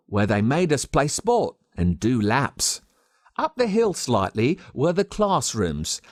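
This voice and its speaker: An Australian man reading a scripted children's story as narrator, with dramatic, expressive delivery. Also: mid-range voice, dry recording, clean studio sound. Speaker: audiobook narrator.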